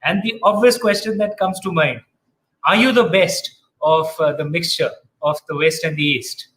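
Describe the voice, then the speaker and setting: A man interviews a guest, his voice pitched a little high for a man. He asks his questions politely but haltingly, circling back with uhs and restarted phrases. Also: a bit bright. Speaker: interviewer, host.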